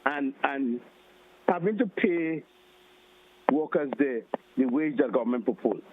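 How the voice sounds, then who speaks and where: A man's voice: mid-range voice, lively intonation, a little slow. A man calling in to a radio show, arguing with rising, emphatic questions. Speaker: caller. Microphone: phone line. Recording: tinny phone line.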